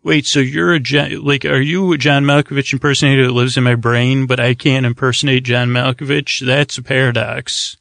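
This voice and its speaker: Creaky, rambling man hosting a sleep podcast. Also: mid-range voice, level tone, normal speed, hardly any pauses, a bit bright, dry recording, clean studio sound. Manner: meandering, hesitant, trailing off mid-thought. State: tired. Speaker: podcast host. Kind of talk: rambling bedtime talk.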